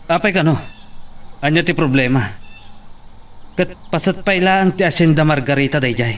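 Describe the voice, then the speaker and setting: A man's voice: a little high, normal speed, fairly bright tone, natural voice. Man acting a worried, earnest farmhand, Ilocano accent. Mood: puzzled. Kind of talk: Ilocano radio drama acting. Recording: faint noise, crickets behind.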